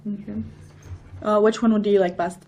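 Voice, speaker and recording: A woman's voice: medium pitch, steady tone, normal speed, warm tone, natural voice. A teenage female student acting a firm, formal peer mediator in a scripted role-play. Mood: businesslike. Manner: firm, procedural. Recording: noisy room ambience.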